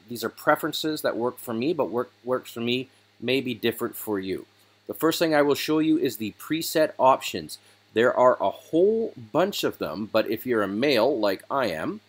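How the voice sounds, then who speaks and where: A man talks through a software tutorial in an easygoing, chatty voice, pausing for small fillers as he goes. His pitch sits in a middle range, and he speaks from a desk mic at his computer. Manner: casual, relaxed explaining with fillers. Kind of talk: screen-recording tutorial voice-over.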